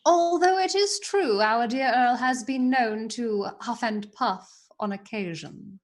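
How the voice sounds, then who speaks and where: A woman's voice: fairly high pitch, slow pace. A woman playing an eager, gushing princess in a radio play. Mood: delighted. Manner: delighted and gushing.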